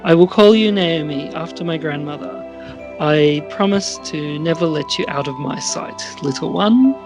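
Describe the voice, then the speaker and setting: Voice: mid-range voice, moderate pace, music in the background, close to an everyday voice. A performer reading the part of a grand, tender new mother of forty in an amateur radio play, formally and sentimentally.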